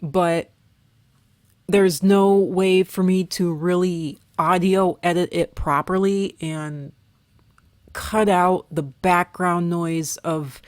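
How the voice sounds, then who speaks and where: A woman narrating an art video in a casual voiceover, her voice still rough and weak from recovery, rambling and hesitant. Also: fairly low pitch, dry recording, studio quality. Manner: rambling, hesitant, with fillers and restarts. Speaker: artist vlogger.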